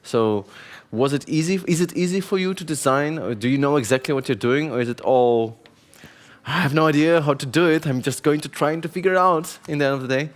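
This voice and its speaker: A man's voice: on the high side, brisk. Middle-aged guy running a conference panel, chatty, piles up questions. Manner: chatty, self-deprecating, strings questions together. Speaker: panel moderator. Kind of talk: moderating a panel discussion. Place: conference stage.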